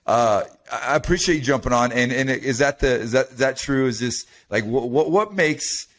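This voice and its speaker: A man from the United States hosting a podcast, chatty and animated, with lots of restarts. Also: medium pitch, moderate pace. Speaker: podcast host. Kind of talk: casual interview talk.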